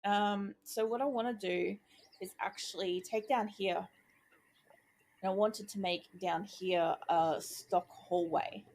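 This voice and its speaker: A woman playing a video game and chatting as she works it out, excited by each small success, her voice going croaky. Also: mid-range voice, slow. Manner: chatty, thinking aloud, easily excited. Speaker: gaming YouTuber. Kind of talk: casual let's-play commentary.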